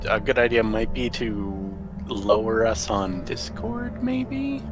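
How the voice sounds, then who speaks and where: A man's voice: on the low side, very animated, slow, over music. A man chatting casually as a guest in a game stream's voice chat.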